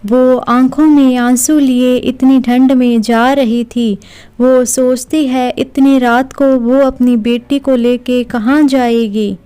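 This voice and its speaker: Clear, unhurried high-voiced woman narrator. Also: steady tone, dark tone. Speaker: story narrator. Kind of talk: scripted cartoon story narration.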